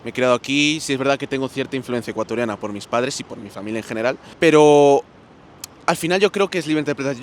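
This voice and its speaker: A young man from Spain answering interview questions in a relaxed, anecdotal way. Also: mid-range voice, slight background noise. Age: young adult. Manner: relaxed, anecdotal, fillers like pues.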